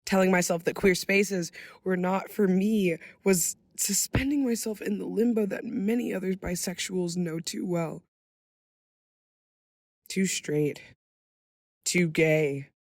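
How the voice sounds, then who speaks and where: A woman reading a dramatic monologue in an earnest, deliberate and emphatic way. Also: on the low side, steady tone, darkish tone, no echo, studio quality. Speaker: monologue reader.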